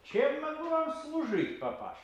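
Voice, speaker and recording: An actor playing an earnest, excitable English gentleman in a radio play, speaking politely, eagerly and with emphasis. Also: very high voice, moderate pace, a little hiss or hum, exaggerated voice. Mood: polite, insistent.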